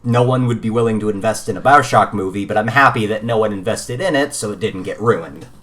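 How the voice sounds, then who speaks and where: A man co-hosting a podcast in casual gaming chat, informal and somewhat halting as he corrects himself. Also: lowish voice, a bit bright. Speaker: podcast co-host.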